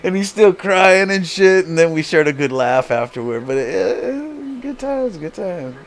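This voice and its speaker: A man's voice: high-pitched, very animated, unhurried. An American man casually telling a story on a live stream, swearing freely in a crude way. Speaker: video host and streamer. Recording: clearly noisy background.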